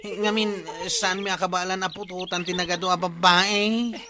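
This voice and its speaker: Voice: medium pitch, steady tone, moderate pace, a crowd in the background, natural voice. An actor with an Ilocano accent playing a hapless, reluctant captive in a village comedy drama, hesitant and pleading.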